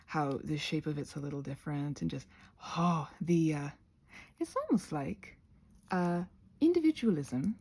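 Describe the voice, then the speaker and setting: A woman voicing a chatty, crafty goblin with a gravelly, husky voice, rambling haltingly through an ASMR craft session. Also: fairly low pitch, warm tone. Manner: rambling, halting, goes off on tangents.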